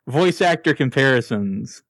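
A man's voice: mid-range voice, measured pace, no room sound, clean studio sound. A young man hosting a casual podcast chat, laughing often as he talks. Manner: laughing, animated. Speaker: podcast host.

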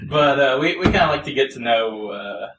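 A man's voice: mid-range voice, expressive, normal speed, distant mic. A man interviewing a guest in a casual, playful way with teasing jokes. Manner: playful, joking. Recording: echoey, off-mic, faint noise.

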